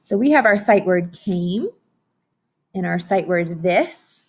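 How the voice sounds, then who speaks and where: Lively, slightly husky woman teaching young children. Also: on the low side, slow. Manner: encouraging, instructional, with frequent questions to children. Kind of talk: interactive read-aloud phonics lesson.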